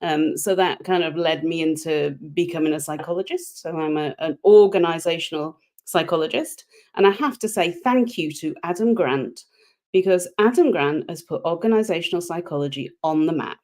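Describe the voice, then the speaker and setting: Chatty woman, lowish voice. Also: normal speed, a little mellow. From United Kingdom. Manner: chatty, self-deprecating humor, frequent repeated words. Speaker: podcast guest, organizational psychologist. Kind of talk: casual interview chat.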